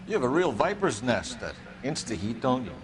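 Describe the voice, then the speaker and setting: An actor playing a stern, needling 1940s police detective in a video game, with clipped, accusatory questioning. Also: male voice, mid-range voice, very animated, brisk, background noise, natural voice. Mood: accusing. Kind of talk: dramatic video game acting.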